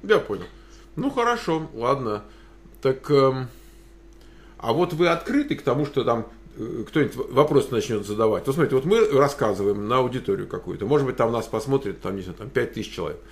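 A man hosts an interview over a video call, his voice of middle pitch. He asks his questions hesitantly, with many filler sounds and restarts. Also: lively intonation, fairly quick. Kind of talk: casual interview.

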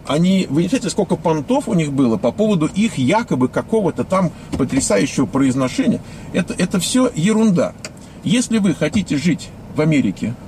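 A man in his fifties from the Soviet Union with a Russian accent, giving a blunt, scornful monologue to viewers, full of hesitations. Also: on the high side. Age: 50s. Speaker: vlogger. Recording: loud outdoor traffic noise.

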